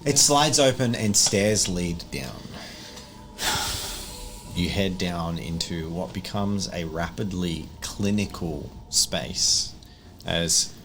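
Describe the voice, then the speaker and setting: A man's voice: deep voice, animated, slow pace, noisy background. A man narrating an improvised tabletop game as game master, explaining things in a casual, conversational way.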